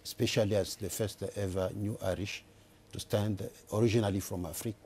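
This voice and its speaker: Older male, Congolese accent, low mellow voice, deliberate and halting delivery, studio interview guest. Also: steady tone, a little hiss or hum. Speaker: election candidate, interview guest. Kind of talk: live TV panel interview. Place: TV news studio, indoors. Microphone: lapel mic.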